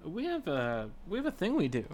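A man's voice: on the high side, huge pitch swings, brisk, a little mellow, slight background noise. A man co-hosting a podcast, chatting casually about a video game. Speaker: podcast co-host.

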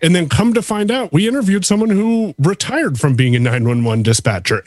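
A man on a panel discussion, telling stories in a relaxed way with many restarts. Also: medium pitch, no room sound. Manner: storytelling, frequent restarts. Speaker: podcast editor and guest.

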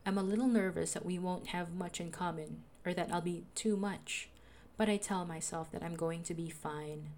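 A young woman with a soothing voice, calmly narrating a personal audio diary as a podcaster. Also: lowish voice, moderate pace, hardly any pauses, a little hiss or hum. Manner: calm, reflective, confessional.

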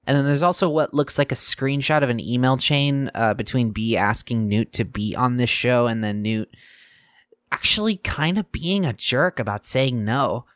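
An actress playing a sardonic, reluctant young student hosting a news show in an audio drama, rambling and exasperated. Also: female voice, rumbling low, moderate pace, no room sound, studio quality. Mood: dry, scornful. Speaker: podcast news host character.

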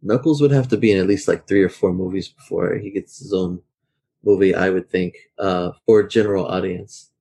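A man's voice: deep voice, fairly even intonation, normal speed, gravelly, dark tone, slightly rough. A man co-hosting a casual podcast, talking in a thoughtful, hesitant way. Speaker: podcast co-host.

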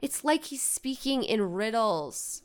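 An actress playing a blunt, sarcastic young American daughter in a naturalistic modern audio drama, quick to retort. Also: female voice, on the high side, big pitch swings. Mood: defensive, irritated.

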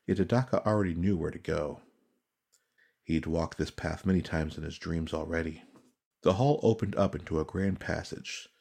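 A man reading dramatic fantasy narration as an audiobook narrator, solemn, measured and suspenseful. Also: deep voice, creaky, darkish tone, breathy edge.

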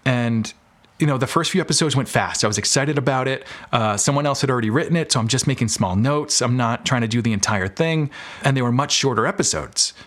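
A man's voice: medium pitch, brisk, fairly bright tone. A man speaking as an interview guest, chatting informally and candidly with rambling explanations. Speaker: podcast guest, filmmaker and audio drama creator. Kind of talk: casual interview chat.

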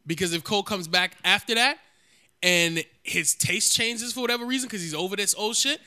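A man's voice: high voice, brisk, pushed and bright. A man co-hosting a podcast, teasing and trash-talking in casual chat. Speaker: podcast co-host.

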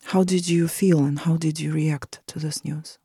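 Husky, dark-voiced Russian woman host. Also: low voice, fairly even intonation, dry recording, clean studio sound. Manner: measured, asking questions. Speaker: podcast co-host, journalist. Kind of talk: podcast interview hosting.

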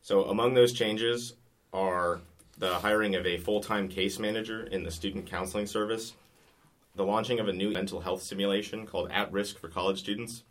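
A young man hosting a student news show from a recording booth, reading stories in a steady scripted way. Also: on the low side.

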